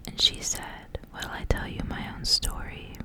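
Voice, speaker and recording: A young woman speaking in a soft, breathy whisper as an ASMR creator. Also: measured pace. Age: young adult. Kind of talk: whispered ASMR, casual rambling and story reading.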